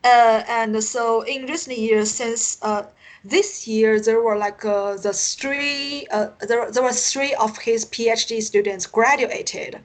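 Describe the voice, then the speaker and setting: A woman from China with a Chinese accent, a native Mandarin speaker, answering interview questions as an academic guest, haltingly searching for words. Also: a little high, fairly even intonation. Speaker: interview guest, university teacher and researcher. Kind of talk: unscripted interview conversation.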